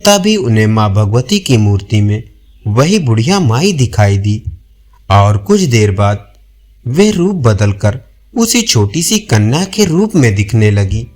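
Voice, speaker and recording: A man's voice: medium pitch, big pitch swings, measured pace, a little mellow. A man narrating a scripted audio folk tale as a storyteller.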